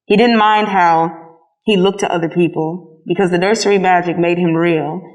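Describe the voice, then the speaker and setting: A woman reading a children's story aloud as a scripted narration, in an expressive storytelling manner. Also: fairly low pitch, normal speed, poor audio. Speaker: storybook reader.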